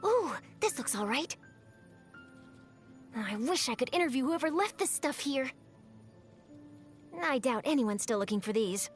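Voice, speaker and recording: An actress voicing a plucky, excitable young newspaper reporter in a video game, with perky, theatrical, emphatic delivery. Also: female voice, sits high, a little slow, pauses often, soft background music. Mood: curious. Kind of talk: animated video game character voice lines.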